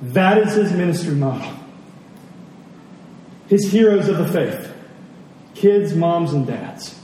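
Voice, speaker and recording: Echoey, mellow-voiced man preaching. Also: a little high, animated, slow. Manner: earnest, rhetorical questions, repeats phrases for emphasis. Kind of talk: sermon, conversational teaching with scripture readings. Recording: very echoey hall, distant mic, faint noise.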